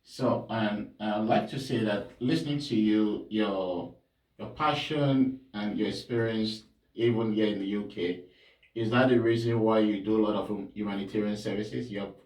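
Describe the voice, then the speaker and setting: Low-voiced male interview host, echoey room. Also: normal speed, a little mellow. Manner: encouraging, frequent short acknowledgements. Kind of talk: casual interview. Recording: roomy sound, mic at a distance.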